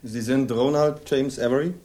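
An actor in a 1960s crime radio play, playing a brisk, official policeman making an arrest. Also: medium pitch, steady tone, mellow, close to an everyday voice. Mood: official, curt.

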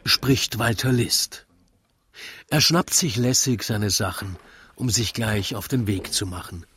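A man narrating a radio play in rhymed verse, with measured, deliberate storytelling. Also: lowish voice, husky. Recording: loud music under the voice. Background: loud music.

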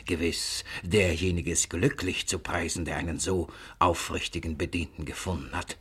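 An actor playing a domineering, gullible old miser of a father in a German radio comedy, theatrical and husky, muttering and exclaiming. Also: low-pitched, steady tone, moderate pace, fairly bright tone, heavily acted. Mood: hushed, admiring. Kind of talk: classic German radio play comedy acting.

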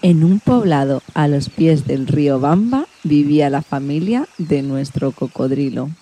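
A woman's voice: low-pitched, animated, unhurried, mellow, slight background noise. A woman presenting a radio show and narrating a story for children.

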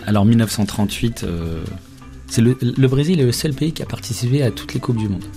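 A man's voice: medium pitch, expressive, brisk, mellow, faint music behind. A man speaking as a radio interview guest, colloquial and hesitant, often repeating his words as he explains. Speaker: interview guest, journalist who researched football history.

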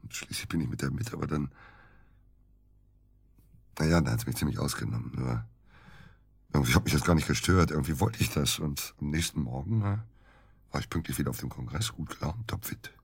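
Deep, husky, evasive man under suspicion. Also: animated, no echo, full character voice. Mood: sheepish, confessional. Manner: halting, hesitant, defensive. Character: evasive, dejected male hospital doctor under suspicion. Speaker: actor in a radio drama. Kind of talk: naturalistic German radio drama acting.

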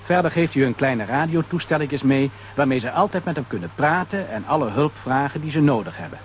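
A man narrating a children's audio drama as an animated storyteller. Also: mid-range voice, fairly quick, a little hiss or hum.

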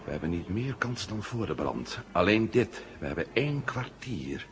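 A man's voice: low-pitched, big pitch swings, moderate pace, background noise. A man acting a resourceful, decisive astronaut hero in a 1970 Dutch radio drama. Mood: urgent, persuasive. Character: resourceful male astronaut hero, decisive captive planning escape.

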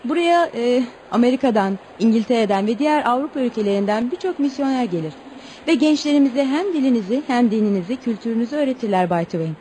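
A woman's voice: fairly high pitch, lively intonation, fast, dark tone, slight background noise, close to an everyday voice, low-quality recording. A woman acting in a radio play as a composed, polite but outspoken young Eskimo woman. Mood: welcoming. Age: young adult.

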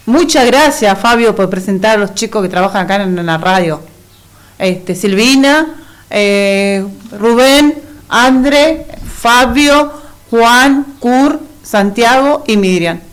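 A woman's voice: on the high side, expressive, takes it slowly, poor audio. Woman co-hosting radio over music. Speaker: radio co-host. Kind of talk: casual community radio hosting.

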